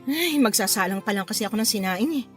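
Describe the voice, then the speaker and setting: A woman playing a caring, inquisitive housewife and mother in a radio drama, homely and expressive. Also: medium pitch, fast talker, faint music behind, close to an everyday voice. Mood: caring, curious. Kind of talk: Filipino radio drama acting.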